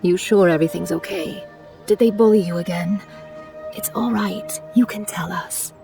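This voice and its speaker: An actress playing a concerned, protective, gentle mother in a video game, soft and coaxing. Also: lowish voice, normal speed, vocal fry, music in the background. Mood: worried, tender. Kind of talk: scripted video game dialogue.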